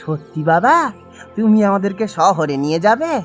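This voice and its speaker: A man voicing a humble, earnest village biryani seller and devoted husband in a cartoon, with expressive delivery. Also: sits high, moderate pace, faint music behind. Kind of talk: Bengali cartoon voice acting.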